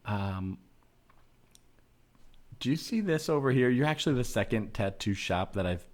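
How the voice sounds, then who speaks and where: A man's voice: mid-range voice, expressive, moderate pace, close to an everyday voice. A man playing an eager, earnest, rambling female tattoo customer in an improvised comedy sketch. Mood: earnest, chatty.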